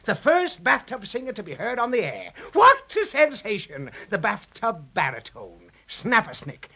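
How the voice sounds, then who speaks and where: An actor playing a vain, melodramatic crocodile hermit with pompous, flowery speech in a 1930s children's radio serial. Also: male voice, high voice, huge pitch swings, normal speed, sharp tone, breathy edge, exaggerated voice. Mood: boastful. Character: vain, theatrical crooning crocodile hermit, pompous and self-adoring. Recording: dull 1930s radio recording.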